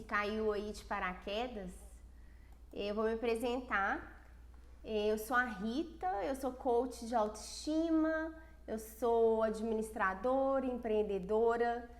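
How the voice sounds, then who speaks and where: Brazilian woman coach, stuffy nose from a cold, fairly high voice, earnest and personal, echoey room. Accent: Brazilian Portuguese. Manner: earnest, personal, with frequent restarts. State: congested from a cold. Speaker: self-esteem coach. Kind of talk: casual livestream talk.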